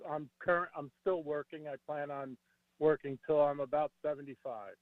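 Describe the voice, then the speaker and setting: A man in his sixties calls in over a thin, dry phone line. He speaks hesitantly, pausing often to find his words, and his voice sits fairly high. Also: fairly even intonation, dry recording. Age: 60s. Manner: hesitant, halting with fillers. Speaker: caller. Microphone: telephone.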